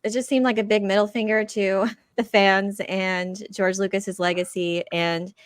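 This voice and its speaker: A woman with a mid-pitched voice chats casually on a fan panel. She is critical and sarcastic. The sound is dry, without room echo. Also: little pitch movement, normal speed, smooth. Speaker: show panelist.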